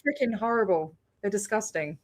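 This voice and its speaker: A woman's voice: medium pitch, normal speed. An Australian woman hosting a casual video call chat, talking freely with many fillers and frequent laughs. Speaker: host.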